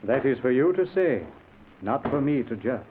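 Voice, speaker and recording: An actor playing a solemn police lieutenant, speaking in a measured, formal manner in a 1940s radio drama. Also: male voice, mid-range voice, very animated, close to an everyday voice. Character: solemn male police lieutenant posing as afterlife guide. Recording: tinny, noisy old radio recording.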